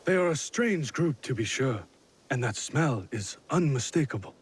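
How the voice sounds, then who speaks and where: An actor playing an uneasy feudal lord in a video game drama, husky-voiced, polite, hesitant and defensive. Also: male voice, mid-range voice, animated, normal speed, a little hiss or hum, close to an everyday voice.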